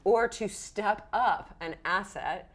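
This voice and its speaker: A woman's voice: medium pitch, slow pace. Woman game master, playful and conversational. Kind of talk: casual tabletop roleplaying talk, explaining rules.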